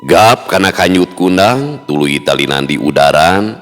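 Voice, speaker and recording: Very deep male storyteller, West Javanese accent. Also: animated, a little slow, fairly bright tone. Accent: Sundanese-speaking West Java. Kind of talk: dramatic folk-tale narration. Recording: long hall reverb, music underneath.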